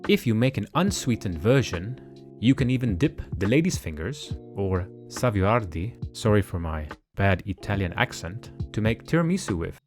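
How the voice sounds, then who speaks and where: A man giving measured, precise step-by-step narration for a home cooking tutorial. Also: fairly low pitch, lively intonation, faint music behind. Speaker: cooking channel host. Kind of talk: calm instructional cooking voice-over. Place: home kitchen.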